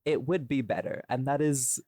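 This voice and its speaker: A man's voice: medium pitch, moderate pace, mellow, no echo, studio quality. A man hosting a casual behind-the-scenes chat about his audio work, self-deprecating and jokey, with some swearing. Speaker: podcast host, audio creator and voice actor.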